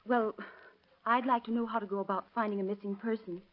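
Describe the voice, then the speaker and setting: A woman's voice: on the high side, fairly even intonation, a little fast, lightly acted. An actress playing a worried, hesitant woman in a 1930s radio drama, on a muffled old recording. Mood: hesitant, anxious. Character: worried, hesitant woman searching for her missing sister.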